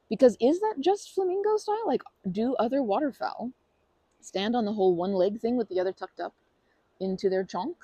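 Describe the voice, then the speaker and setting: A woman's voice: on the high side, no room sound. A woman in an ASMR roleplay who talks to the listener in a rambling, bashful and playful way, full of fillers and restarts. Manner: playful and earnest, laughing softly.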